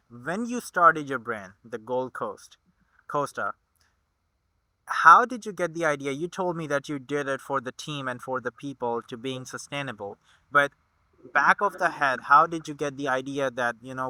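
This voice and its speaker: A man hosting a podcast interview, effusive and quick to exclaim his enthusiasm. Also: mid-range voice, pushed and bright, no room sound, rough recording. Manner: effusive, exclaims wow often. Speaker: podcast host.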